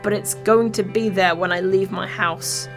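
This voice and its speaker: A woman's voice: mid-range voice, fairly even intonation, normal speed, over music. A woman from Birmingham with an English accent reads a scripted video essay in a wry, informal, sweary way. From Birmingham, England.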